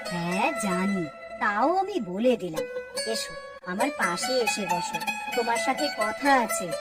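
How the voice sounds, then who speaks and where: A woman's voice: medium pitch, lively intonation, measured pace, loud music behind the voice, natural voice. A woman voicing an older, homely mother-in-law in a children's cartoon, complaining and instructing her family. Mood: aggrieved. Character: older mother-in-law, nagging housewife, aggrieved but indulgent. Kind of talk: Bengali children's cartoon dialogue.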